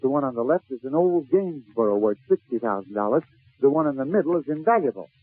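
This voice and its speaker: A man's voice: mid-range voice, big pitch swings, fast talker, slightly rough. A man telling a comic story in 1940s radio style, acting out the dialogue as he goes. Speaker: comedian. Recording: tinny narrowband old radio broadcast.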